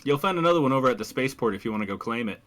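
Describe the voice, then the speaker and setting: A man's voice: medium pitch, expressive, very fast, fairly bright tone, close to an everyday voice. A man playing a wry, weary marine squad leader in a tabletop game, talking in a dry, diplomatic way. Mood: wary, dry. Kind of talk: tabletop roleplay acting.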